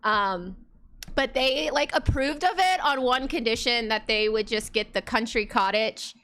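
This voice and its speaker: A woman's voice: on the high side, measured pace, a bit bright. A woman streaming a life-sim game, chatting casually and rambling with lots of fillers, her voice congested. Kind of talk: casual let's play chat.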